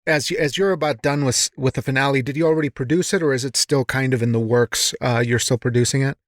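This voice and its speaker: A man's voice: mid-range voice, steady tone, fast, no room sound, clean studio sound. A man hosting a casual interview, speaking in an encouraging, affirming way. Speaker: podcast host, interviewer.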